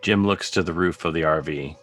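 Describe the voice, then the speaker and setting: Man, narrator, low deep voice, scripted narration, music behind, video call. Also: brisk, fairly bright tone.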